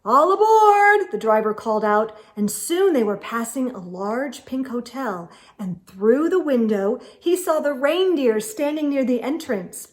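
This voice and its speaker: A woman storyteller reading a children's book aloud expressively, with deliberate phrasing and dramatic emphasis. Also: a little high, a little mellow.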